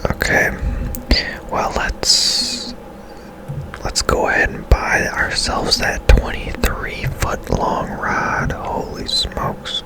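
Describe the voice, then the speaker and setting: A man whispering casual ASMR commentary as he plays a video game, musing in a hushed, unhurried way. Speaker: game streamer, ASMR host.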